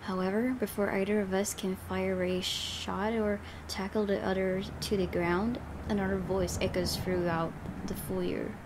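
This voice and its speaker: A woman's voice: mid-range voice, flat delivery, a little slow, hardly any pauses, background noise. A woman hosting a gaming video, reading story narration aloud dramatically with casual reactions. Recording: game music clearly behind. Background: game music.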